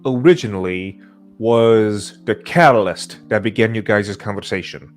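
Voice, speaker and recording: A man's voice: on the low side, unhurried, soft background music. A man hosting a casual livestream chat, talking in a jokey, playfully self-important way. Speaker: podcast host.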